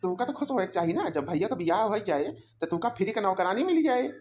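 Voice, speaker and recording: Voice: medium pitch, very animated, quick pace, darkish tone, slight background noise, lightly acted. A performer voicing a smug, mocking young husband in an Awadhi cartoon comedy, teasing and boastful with an Awadhi accent. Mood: amused, teasing. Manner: teasing, boastful, rambling mockery.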